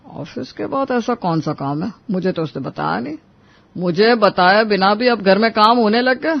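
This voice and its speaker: A man's voice: high voice, expressive, moderate pace, vocal fry, lo-fi sound. A man playing a stern, domineering old father-in-law in a story drama, sarcastic and scolding. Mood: sarcastic, offended. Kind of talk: Hindi moral-story audio drama.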